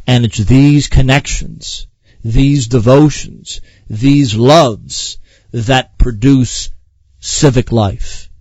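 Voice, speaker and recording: A man's voice: medium pitch, darkish tone, dry recording, muffled recording. A man hosting a radio talk show, lecturing earnestly with repeated phrases and frequent fillers. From Ireland.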